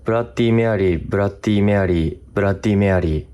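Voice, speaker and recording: A man hosting a ghost-hunting vlog, talking to camera by himself in short, halting fragments. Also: fairly low pitch, mellow, a little hiss or hum. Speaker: host of a ghost-hunting video series. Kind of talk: hushed solo vlog narration to camera. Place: indoors, hotel room. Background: music.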